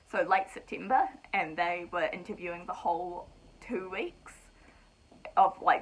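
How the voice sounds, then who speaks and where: Young woman, New Zealand accent, breathy voice, chatty and practical vlog talk. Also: mid-range voice, fairly bright tone, poor audio. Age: young adult. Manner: chatty and practical, with light laughs. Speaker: vlogger. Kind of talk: casual explainer vlog.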